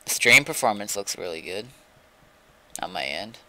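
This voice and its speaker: Low, very bright, gravelly-voiced man. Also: animated, moderate pace, low-quality recording. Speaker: game streamer. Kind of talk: casual chat while testing stream settings.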